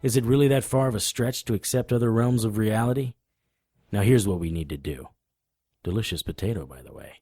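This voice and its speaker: An actor playing a wry, stubborn occult investigator in an audio drama, talking and narrating in a conversational noir style. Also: male voice, fairly low pitch, brisk, warm tone, slightly rough, dry recording, clean studio sound. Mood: wry, insistent.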